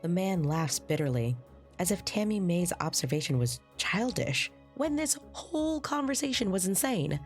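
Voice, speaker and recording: A woman's voice: lowish voice, sing-song, normal speed. A woman playing an anxious assistant who narrates an audio drama in the first person, in a dramatic scripted style. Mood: tense. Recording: loud music and effects behind voice.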